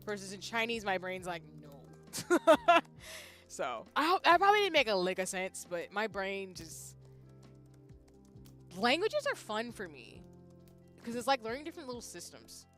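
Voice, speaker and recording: A woman's voice: mid-range voice, very animated, measured pace, fairly bright tone, soft background music. A young woman streamer chatting casually with her viewers, thinking aloud with frequent restarts. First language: English. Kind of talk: casual chat reading viewer comments.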